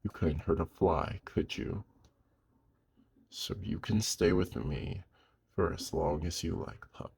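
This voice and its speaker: Old lone werewolf, played by a man: rock-bottom pitch, heavily raspy, weary, protective and tender under the gruffness, close ASMR audio drama. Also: exaggerated voice. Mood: gentle, protective. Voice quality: very deep, husky, gravelly. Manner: slow, hushed, with long pauses. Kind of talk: intimate ASMR audio roleplay.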